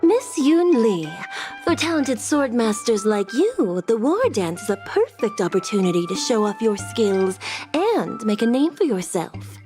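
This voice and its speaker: A courteous female secretary in a video game. Her voice sits fairly high and moves expressively, with deferential, formal phrasing. Music plays clearly behind her. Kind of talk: scripted video game dialogue.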